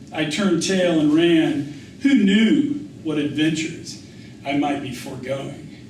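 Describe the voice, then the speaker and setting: A man reading a literary memoir aloud as its author, with a measured storytelling delivery. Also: on the high side. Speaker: author reading his essay. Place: indoor public reading. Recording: echoey room, distant mic, faint noise.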